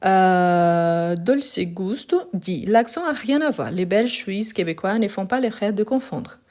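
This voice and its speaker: A woman's voice: medium pitch, lively intonation, slow pace, a little mellow. Chatty woman teaching French live. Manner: chatty, many fillers and restarts. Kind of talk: live online lesson, answering comments. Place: in France.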